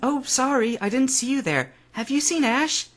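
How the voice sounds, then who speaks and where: Man playing a young woman, defiant and hurt, high tense pitch. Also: lively intonation, moderate pace, a bit bright, faint music behind, full character voice. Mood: distant. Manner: raised pitch, tense and pleading.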